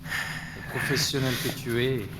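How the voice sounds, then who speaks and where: An actor playing a smug, calmly menacing terrorist boss in an audio drama, taunting with mock friendliness. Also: male voice, mid-range voice, measured pace, close to an everyday voice. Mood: smug menace. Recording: long hall reverb, clear noise.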